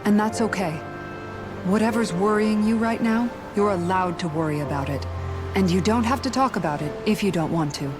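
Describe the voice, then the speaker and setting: An actress playing a kind, steady warrior woman comforting friends in a video game cutscene. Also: medium pitch, moderate pace, natural voice. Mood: reassuring. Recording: music behind the voice.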